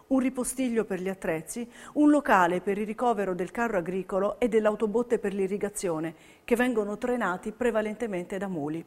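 A woman giving scripted, theatrical documentary narration for a stage piece. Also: mid-range voice. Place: radio broadcast of a theatre piece.

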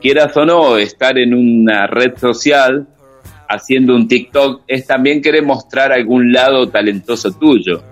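A man's voice: medium pitch, measured pace, faint music behind. A man on a comedic radio show, lecturing with mock authority and listing dating tips.

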